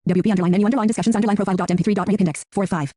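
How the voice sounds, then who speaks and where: A synthetic male screen reader voice announcing interface text in a flat, robotic way. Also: high voice, rapid-fire, dry recording, studio quality.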